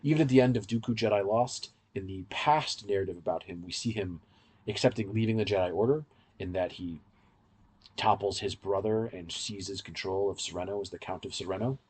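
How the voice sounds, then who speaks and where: A man's voice: fairly low pitch, brisk, a little mellow, husky. A man co-hosting a podcast, giving casual, in-depth fan commentary in a rambling, explanatory way. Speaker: podcast co-host.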